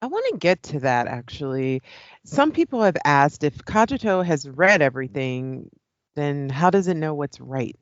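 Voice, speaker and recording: An actress plays a female moderator in an audio drama. She presents with composure and animated intonation. The recording is dry and a touch muffled. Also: low-pitched, moderate pace, no echo. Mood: composed, brisk. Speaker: debate show host. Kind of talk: radio play presenter acting.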